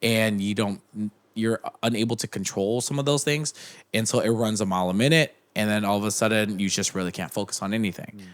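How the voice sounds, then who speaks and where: Young guy on a podcast, lowish voice, lots of um and restarts, just chatting. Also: fairly even intonation, fairly quick. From United States. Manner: rambling, with many restarts and fillers. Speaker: podcast guest. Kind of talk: casual chat.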